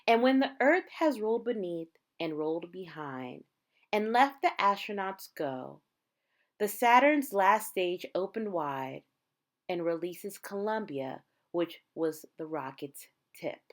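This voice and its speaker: Woman doing a read-aloud for kids, takes it slow, pitch goes up and down a lot for drama. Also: expressive. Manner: measured, dramatic phrasing with emphasized words. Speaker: teacher reading a picture book aloud to students.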